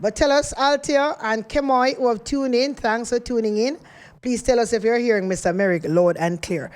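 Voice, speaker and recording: A woman's voice: a little high, normal speed, darkish tone. A woman hosting a radio interview, chatty and often repeating words as she searches for them. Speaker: radio host.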